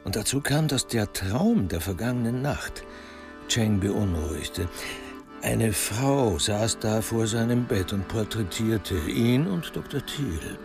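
A man narrates a radio crime drama. His voice is fairly low with a gravelly fry, and he tells it in a measured, literary way. Music plays clearly behind him. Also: animated.

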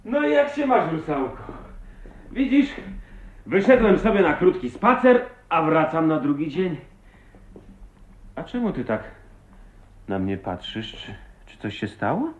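An actor playing an easygoing, affectionate backwoods husband in a radio drama, with a playful, bantering manner. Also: male voice, fairly high pitch, sing-song, measured pace, pauses often. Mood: jovial, teasing. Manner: playful, bantering, swooping intonation. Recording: very muffled, narrow old radio recording.

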